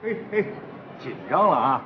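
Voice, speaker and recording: An actor playing a teasing, loyal friend of the groom in a naturalistic TV drama, colloquial and chatty with a Beijing accent. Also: male voice, on the high side, lively intonation, normal speed, dull audio, a little hiss or hum, lightly acted. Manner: joshing, colloquial. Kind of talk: naturalistic television drama acting.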